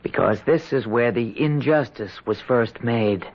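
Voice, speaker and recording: An actor playing an honest, stubborn young soldier lad in a 1970s radio drama, folksy, naive and halting. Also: male voice, medium pitch. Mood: quietly certain. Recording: dull lo-fi 1970s radio recording.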